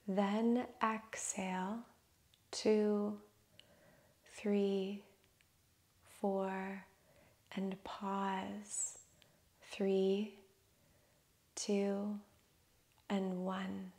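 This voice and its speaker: A woman guides a breathing meditation at a very slow, unhurried pace, her voice carrying a gentle gravelly fry. She counts breaths softly, often sinking into a whisper. Her pitch stays flat and even throughout. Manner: slow, soft, soothing counting, drifting into whispers.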